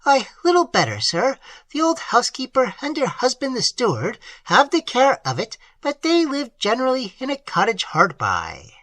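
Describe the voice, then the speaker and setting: A man's voice: very high-pitched, huge pitch swings, normal speed, hardly any pauses, sharp tone, no room sound, voice pushed up, exaggerated voice. A reader voicing an elderly, widowed, superstitious village man who speaks earnestly in old-fashioned phrasing. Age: older. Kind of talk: audiobook character reading.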